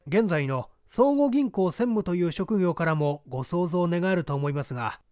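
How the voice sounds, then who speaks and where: A young man narrates a literary mystery in a fairly high voice for a male. His intonation moves widely while the phrasing stays deliberate and measured. The sound is close and dry, with very little room. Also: lively intonation, darkish tone, dry recording. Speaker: audiobook reader.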